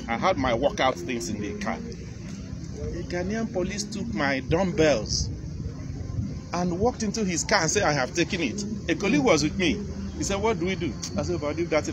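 Nigerian English accent, middle-aged man, emphatic outdoor speech, slightly high voice, phone recording from the crowd. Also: very noisy. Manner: emphatic, gesturing, repeating phrases for stress. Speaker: university lecturer addressing a meeting. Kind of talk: impassioned public address. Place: outdoors under a tree. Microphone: phone camera from the audience.

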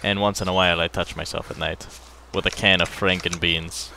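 A man's voice: low-pitched, brisk, vocal fry, slight background noise. Rambling crude young male gamer. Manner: rambling, crude joking, breaks into excited bursts. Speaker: gameplay channel host. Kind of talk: casual gaming commentary with a friend.

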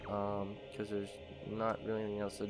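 Let's-play host, man, a bit nasal and low-pitched, rambling commentary over steady game music. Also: brisk, poor audio. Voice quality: slightly nasal from allergies. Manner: rambling, thinking aloud with many ums. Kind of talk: casual gameplay commentary.

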